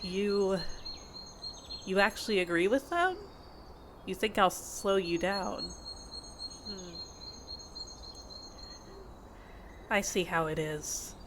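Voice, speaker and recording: A woman playing a possessive, condescending fantasy healer in an ASMR audio roleplay, with a measured, intimate, teasing and reproachful delivery. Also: mid-range voice, animated, noisy background. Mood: hurt, cold. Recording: insect and bird ambience behind voice. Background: outdoor nature ambience with crickets and birds.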